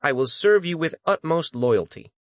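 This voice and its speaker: A man's voice: fairly high pitch, animated, normal speed, no echo, pitched above the performer's own voice, close to an everyday voice. A man voicing a male servant ghost in a dramatized audiobook, blustering or pleading with great urgency. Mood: desperate pleading. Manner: blustering, then pleading and servile. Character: panicked, cursing, groveling male servant ghost.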